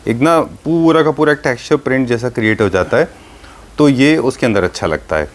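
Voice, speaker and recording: An Indian man with a mid-pitched voice hosts a showcase in a conversational way. He moves between Hindi and English, putting questions to his guest and turning to explain things straight to the viewers. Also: animated, fairly quick. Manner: mixes Hindi and English, addresses viewers directly. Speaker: host of a furniture showcase show.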